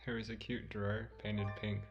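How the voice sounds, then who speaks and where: A man playing an obsessive, increasingly unhinged narrator in a deliberate, melodramatic radio drama monologue. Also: lowish voice, little pitch movement, gravelly, muffled recording. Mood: brooding unease. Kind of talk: scripted first-person radio drama monologue. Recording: loud music under the voice. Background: music.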